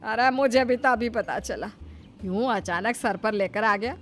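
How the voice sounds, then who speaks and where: An ailing older mother-in-law played by a woman rules her household in a high voice. She is possessive and aggrieved, complaining and giving orders in cartoon-story fashion, with light music behind. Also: measured pace, fairly bright tone. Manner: plaintive, domineering. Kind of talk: Hindi cartoon moral-story acting.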